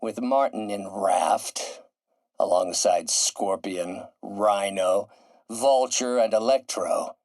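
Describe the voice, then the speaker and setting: A man playing a brilliant, embittered middle-aged scientist turned villain in a video game, speaking in a theatrical, deliberate, articulate way with a husky, breathy voice. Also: lowish voice, a little mellow. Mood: calculating. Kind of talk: dramatic video game monologue.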